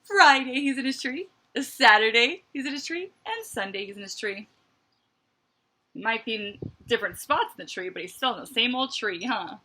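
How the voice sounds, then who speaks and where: A woman reading a picture book aloud to children like a teacher, with expressive emphasis and chatty asides. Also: fairly high pitch, normal speed. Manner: expressive, dramatic emphasis, conversational asides to young listeners.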